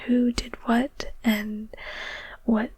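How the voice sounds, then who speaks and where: A woman playing a shy, friendly female ghost of the underworld in an intimate ASMR audio roleplay, soft and whispery, with hesitant, stammering, gently awkward speech. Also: on the high side, flat delivery, very slow, breathy edge, no echo, exaggerated voice. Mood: warm, interested.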